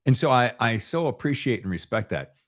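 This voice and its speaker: A man's voice: mid-range voice, very animated, normal speed. A man hosting a podcast, chatting casually with guests in a folksy, effusive, rambling way. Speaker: podcast host interviewing authors. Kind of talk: casual interview chat.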